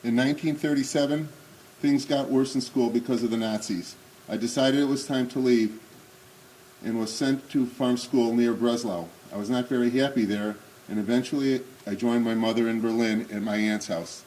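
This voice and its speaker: Halting man in his sixties, measured delivery. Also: medium pitch, steady tone, darkish tone, slight background noise, rough recording. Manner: halting, with frequent pauses and fillers. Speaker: presenter at a remembrance event. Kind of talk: slide presentation and reading memoir excerpts aloud. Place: synagogue.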